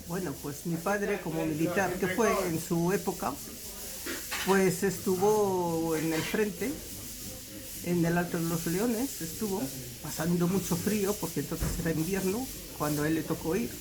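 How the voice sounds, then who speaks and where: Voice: medium pitch, measured pace, crowd noise, rough recording. A person giving spontaneous family testimony, hesitant and correcting themselves as they go.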